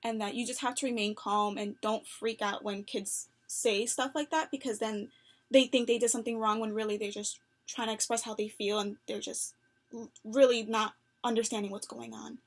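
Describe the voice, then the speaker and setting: A young adult woman answering phone interview questions in an earnest, upbeat way, with many fillers and soft laughs. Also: on the high side, steady tone, normal speed. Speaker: job applicant.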